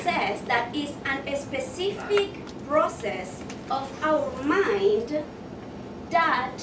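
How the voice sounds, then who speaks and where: A woman from Ecuador, presenting a motivational workshop and asking her audience questions. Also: sits high. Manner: slow and deliberate, asks the audience questions. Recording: echoey room, mic far off, noisy.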